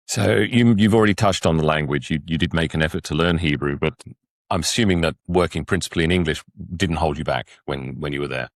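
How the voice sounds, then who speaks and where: Male host, extremely deep voice, measured hesitant questions, dry close sound. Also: dry recording. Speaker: podcast host. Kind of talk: interview questions.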